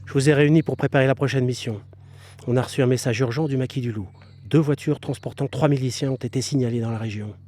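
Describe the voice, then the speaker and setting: A man's voice: medium pitch, fairly even intonation, fast talker, a little mellow, soft background music, lightly acted. A man playing a Resistance section leader in an audio drama, giving clipped, authoritative orders. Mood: briskly commanding. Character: brisk, commanding male Resistance section leader.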